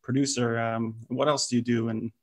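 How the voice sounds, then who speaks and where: A man in his thirties from Canada hosting a podcast, asking easygoing, halting questions full of fillers. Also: mid-range voice, level tone, darkish tone. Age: 30s. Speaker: podcast host. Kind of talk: casual interview hosting. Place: home room, over a video call.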